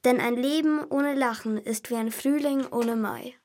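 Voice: mid-range voice, normal speed, a little mellow. A young co-presenter talking casually and sharing memories. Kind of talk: casual conversation.

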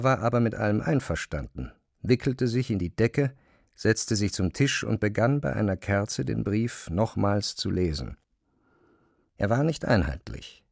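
Male narrator for literary radio drama, lowish voice, very dry close studio recording. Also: gravelly, no echo.

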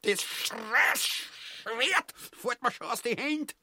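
An actor playing a cowardly, greedy, foul-mouthed tyrant in a grotesque stage farce, with a Viennese accent and whiny, blustering delivery. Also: male voice, very high-pitched, slow pace, bright, forceful tone, heavily acted. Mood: fearful whining. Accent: Viennese Austrian.

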